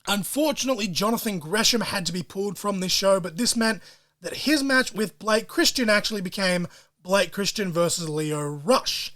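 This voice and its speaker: A man hosting a solo podcast, talking in an excitable, profane way full of wrestling-fan slang. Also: high-pitched, moderate pace. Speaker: podcast host.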